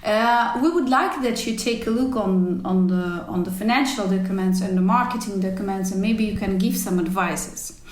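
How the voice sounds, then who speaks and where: In a roomy, echoing space, a woman. Her pitch is medium, and her comes out in halting but emphatic stretches, like an interview guest recounting a career. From former Soviet Union. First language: not English. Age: 40s. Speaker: podcast guest, cycling team executive. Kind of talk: conversational interview storytelling. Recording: roomy, echoey sound.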